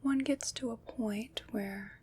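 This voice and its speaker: A woman playing a gentle, caring witch in an ASMR roleplay, speaking in a breathy whisper with soothing, reassuring pauses. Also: fairly high pitch, exaggerated voice. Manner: slow, soothing, reassuring, pausing between short phrases. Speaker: ASMR performer.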